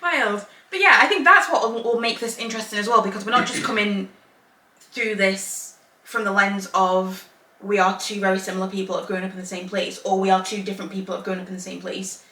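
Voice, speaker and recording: Young woman, casual podcast co-host, bright voice, roomy echo. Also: medium pitch, fairly even intonation, fairly quick. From England. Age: young adult. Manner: talkative, laughs often, restarts sentences. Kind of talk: casual chat. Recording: echoey room, mic at a distance.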